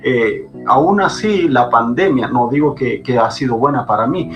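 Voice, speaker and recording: A man's voice: medium pitch, normal speed, a bit bright, muffled recording. Fervent man preaching over guitar music. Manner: fervent, repeats phrases for emphasis. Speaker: pastor. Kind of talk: preaching guest in a podcast conversation. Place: Chile.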